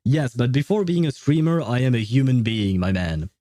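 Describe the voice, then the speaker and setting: A man's voice: medium pitch, very animated, normal speed, mellow, no echo, clean studio sound. A man streamer chatting casually with viewers in a rambling, hesitant way. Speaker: livestreamer. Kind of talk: casual livestream chat, replying to viewers.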